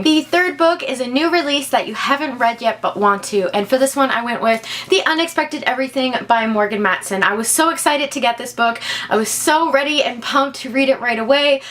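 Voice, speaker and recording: A woman's voice: high-pitched, a bit bright, slight background noise. A young woman talking casually and enthusiastically to camera as a book vlogger. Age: young adult.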